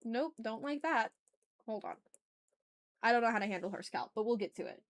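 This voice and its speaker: Higher-voiced woman chatting on an art stream. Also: expressive, a little fast. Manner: informal, slangy, effusive with viewers; thinks aloud while working. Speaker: art livestream host. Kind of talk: casual livestream chat while drawing.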